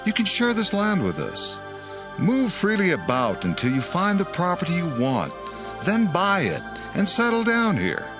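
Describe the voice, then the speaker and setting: Persuasive elder father and chieftain, high, hugely animated delivery, scripture drama. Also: measured pace, music in the background, full character voice. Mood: pleading, persuasive. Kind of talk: dramatized audio Bible acting.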